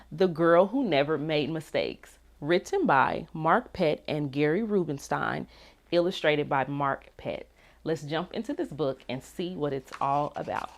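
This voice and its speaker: Woman, low-pitched voice, swooping intonation, expressive storybook narration, dramatic emphasis. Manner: animated, dramatic pauses and emphasis. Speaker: read-aloud narrator.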